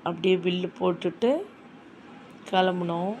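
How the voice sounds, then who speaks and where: Female vlogger, low-pitched, eager and talkative; light background hum. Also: little pitch movement, normal speed, darkish tone. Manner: chatty, enthusiastic, repeats "super super". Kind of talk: casual shopping vlog narration.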